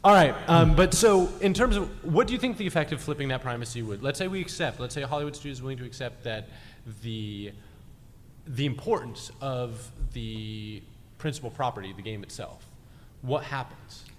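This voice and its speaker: A man's voice: mid-range voice, animated, normal speed, slight background noise. A man on a live podcast panel, steering the discussion in an analytical, conversational way. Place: large convention hall stage. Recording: echoey hall, live on stage.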